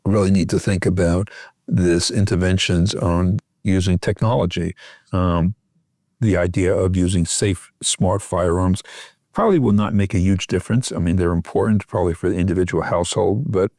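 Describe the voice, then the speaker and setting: Low-pitched man explaining, dry close sound. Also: fairly even intonation, normal speed, darkish tone, dry recording. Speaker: expert guest, physician researcher. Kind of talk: podcast interview.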